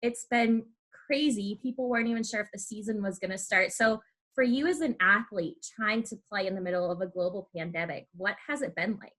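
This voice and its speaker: A young American woman with a bright, forward voice at a medium pitch. She hosts a casual interview, chatting easily and affirming her guest as she asks questions. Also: a little fast. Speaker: podcast host.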